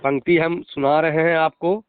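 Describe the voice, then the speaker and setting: A man's voice: a little high, steady tone, moderate pace, smooth, no echo. A middle-aged man with a Bihari accent, a schoolteacher arguing his position emphatically and formally in a phone interview. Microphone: phone call. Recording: narrow, tinny phone line.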